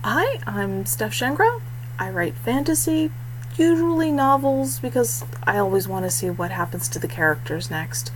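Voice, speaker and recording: A woman's voice: on the high side, slight background noise, low-quality recording. A woman author introducing her reading channel in a casual, self-deprecating, chatty way. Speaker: indie fantasy author.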